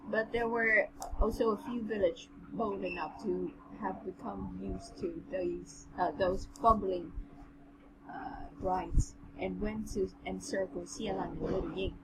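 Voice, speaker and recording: A woman with a mid-pitched voice reads a story aloud in an amateur, slightly halting way, dropping into casual commentary. The home recording carries loud background noise. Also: fairly even intonation. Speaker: co-host reading a novel aloud.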